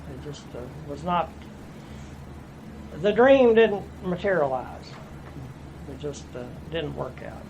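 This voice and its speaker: A man's voice: on the high side, expressive, slow, long pauses, a little mellow. A man in his fifties with an East Tennessee accent, telling his life story plainly in an oral history interview. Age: 50s. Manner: plainspoken, folksy storytelling with restarts. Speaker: interviewee, veteran. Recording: noisy room recording.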